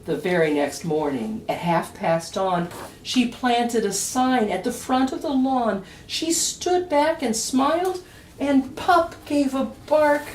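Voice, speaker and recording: Echoey, slow-paced woman reading a storybook. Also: on the high side, expressive, a little hiss or hum. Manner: dramatic emphasis with deliberate pauses. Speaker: children's author reading a picture book. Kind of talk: expressive storytime read-aloud of rhyming verse. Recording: roomy echo, distant mic.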